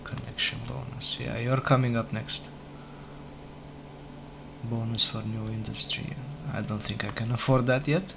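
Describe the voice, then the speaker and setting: A man whose first language is not English, casually commenting on his game as he plays, unhurried and thinking aloud, often correcting himself. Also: medium pitch, steady tone, gravelly, noisy background. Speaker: let's play gamer. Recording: game music playing behind. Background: game music.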